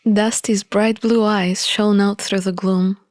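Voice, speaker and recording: A woman narrating a visual novel in an expressive, measured storybook style. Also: medium pitch, no echo. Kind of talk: expressive storybook-like reading of game text. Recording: music behind the voice. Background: music.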